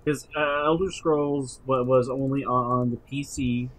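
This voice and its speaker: A man's voice: medium pitch, steady tone, measured pace, fairly bright tone, rough recording. A man co-hosting a gaming podcast, chatting casually with frequent stammered, repeated words. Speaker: podcast co-host.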